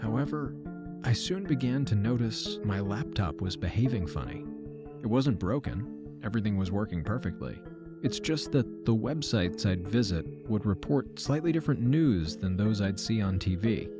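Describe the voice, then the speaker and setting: A man's voice: on the low side, normal speed, lightly acted. An actor playing a wry, matter-of-fact man who narrates an audio drama in dry, formally worded first person. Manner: dry, deadpan, wordy formal phrasing. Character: wry, matter-of-fact man recounting his trip between simulations. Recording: music under the voice.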